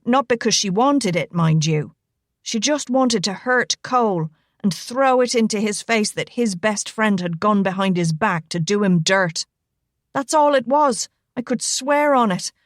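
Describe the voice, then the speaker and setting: A woman's voice: medium pitch, dry recording, close to an everyday voice. Woman voicing a big Irishman, thick Irish brogue, teasing and charming, dry close read. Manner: playful thick brogue, lilting.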